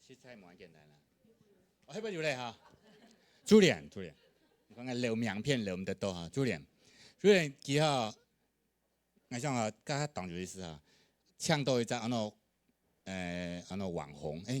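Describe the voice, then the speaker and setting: Man, event moderator, casual jokey banter, lowish voice, very animated pitch. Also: normal speed, long pauses, no echo.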